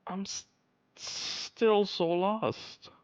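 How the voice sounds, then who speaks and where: A man's voice: sits high, steady tone, drawn-out words, clean tone, no room sound. A man chatting casually as he hosts a video game livestream.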